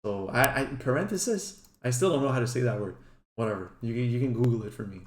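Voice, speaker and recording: Male gaming streamer, native French speaker, casual chatty guide commentary, mid-pitched voice, roomy echo. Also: quick pace. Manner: informal, chatty, occasional swearing. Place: indoors at a computer. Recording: roomy echo, faint background noise.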